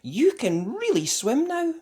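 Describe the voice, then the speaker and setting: Caring storybook mum, played by the narrator: raised, swooping pitch, sing-song, encouraging. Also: wildly swooping pitch, takes it slowly, mellow, exaggerated voice. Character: encouraging, caring mother of young children.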